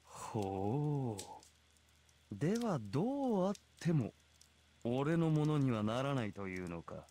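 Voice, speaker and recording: A man's voice: medium pitch, big pitch swings, moderate pace, warm tone, close to an everyday voice. An actor voicing an arrogant, merciless heroic king in a visual novel, with a haughty, sneering and imperious manner. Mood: cold, contemptuous. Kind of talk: Japanese visual novel voice acting.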